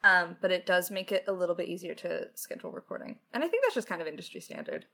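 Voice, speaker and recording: A woman's voice: lowish voice, wildly swooping pitch, fast talker, vocal fry, bright, forceful tone. A woman co-hosting a casual question-and-answer chat as a writer, with a wry, conversational way of talking. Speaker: lead writer and podcast co-host.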